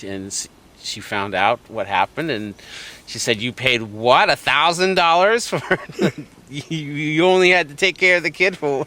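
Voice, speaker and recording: A middle-aged man, a sculptor, chatting and telling a long anecdote in a relaxed interview. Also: medium pitch, a little hiss or hum. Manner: chatty anecdotal storyteller, laughs often. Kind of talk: casual storytelling interview.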